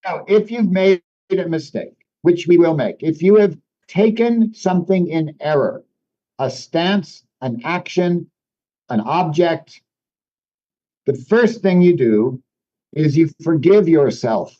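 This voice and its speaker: A man whose first language is French speaks in a fairly high, mellow voice, explaining ideas thoughtfully and often restarting his phrasing. The recording is dull and lo-fi. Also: animated, slow pace. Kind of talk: reflective conversation. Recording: dull lo-fi sound.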